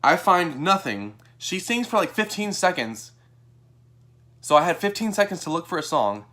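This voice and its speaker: A young American man telling a personal story to camera in a casual, animated way, acting out what people said. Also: normal speed. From Texas, United States. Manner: animated, acts out quoted dialogue in a higher voice. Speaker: vlogger.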